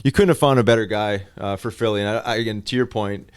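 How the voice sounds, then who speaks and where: A man co-hosting a casual sports podcast in a studio, informal and halting, repeating words as he goes. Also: fairly low pitch, smooth, dry recording.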